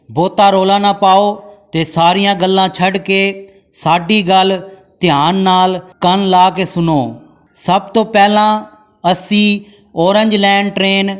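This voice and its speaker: Comic male train announcer acted by a man, Pakistani Punjabi accent, mock-polite, instructing, high and unhurried. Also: smooth. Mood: folksy, welcoming. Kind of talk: parody public transport announcement.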